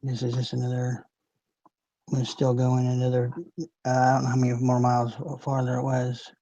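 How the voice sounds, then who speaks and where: A man's voice: mid-range voice, completely flat delivery, normal speed, warm tone. A man hosting a live stream, chatting casually in a rambling, laid-back way and chuckling often. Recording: dull lo-fi stream audio.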